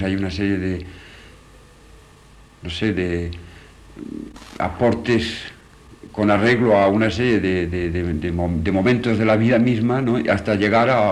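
Low deep-voiced guy, painter talking about his work unscripted, hesitant, hunting for words. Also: darkish tone. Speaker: painter being interviewed. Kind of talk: unscripted reflection on own work.

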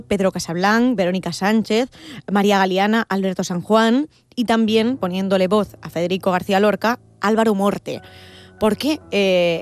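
A woman conducting an interview, asking measured questions with occasional fillers. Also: mid-range voice.

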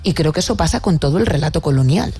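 A woman's voice: low voice, lively intonation, fast talker, warm tone. A woman co-hosting an interview, speaking earnestly as she frames the topic for the guest. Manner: earnest, framing questions. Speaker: co-host. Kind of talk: conversational interview talk.

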